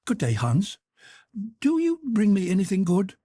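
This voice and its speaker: A man's voice: sits high, huge pitch swings, a little slow, dark tone, no echo, studio quality, voice pushed up, exaggerated voice. Teasing young maiden, put on by a man. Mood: expectant.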